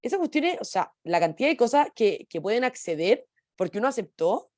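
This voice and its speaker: A young woman on a radio panel, a law student who talks quickly and hesitantly, searching for words. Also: on the high side, big pitch swings, a little mellow, no room sound, clean studio sound. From Chile.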